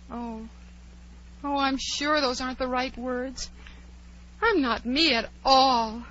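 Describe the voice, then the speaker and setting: A woman's voice: sits high, sharp tone, exaggerated voice. A woman playing a polite, dreamy, easily flustered young girl who talks earnestly and theatrically to herself in a 1940s radio drama. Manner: earnest, theatrical self-talk. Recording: dull, noisy 1940s radio recording.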